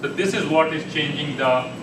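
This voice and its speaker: A man's voice: fairly high pitch, normal speed. A man giving a conversational tech presentation at a meetup, often restarting his sentences. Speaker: conference speaker. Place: meeting room. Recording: echoey room, distant mic, air conditioning hum.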